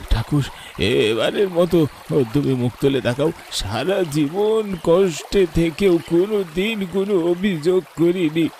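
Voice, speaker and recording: A man's voice: a little high, expressive, unhurried, darkish tone, slight background noise. A man playing a humble, fearful village boatman in a horror cartoon, stammering and deferential. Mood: pleading, despairing. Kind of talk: Bengali horror cartoon voice acting.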